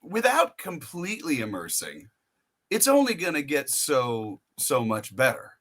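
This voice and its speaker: American male host, bright forceful voice, loose chatty interviewer who wanders into anecdotes. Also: mid-range voice, wildly swooping pitch, measured pace. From United States. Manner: rambling, storytelling with many restarts. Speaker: podcast host. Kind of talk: casual interview chat.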